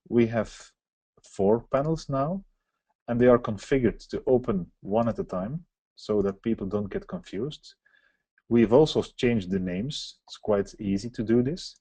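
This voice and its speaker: Low-voiced man demoing software. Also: measured pace, no echo. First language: Dutch. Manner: explanatory, walks through on-screen steps. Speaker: software presenter. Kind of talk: live software demo webinar.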